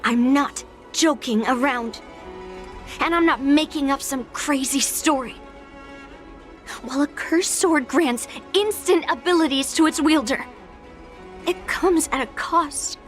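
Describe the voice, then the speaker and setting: A woman's voice: high-pitched, unhurried, soft background music. A woman playing a serious, headstrong young swordswoman in a video game, speaking with defiant determination. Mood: grave explaining. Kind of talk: video game character acting.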